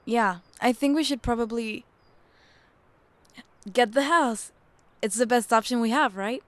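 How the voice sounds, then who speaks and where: A woman's voice: on the high side, unhurried, a bit bright, dry recording. A woman playing an uneasy young wife in an audio drama, hesitant and subdued. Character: uneasy young wife hiding her doubts.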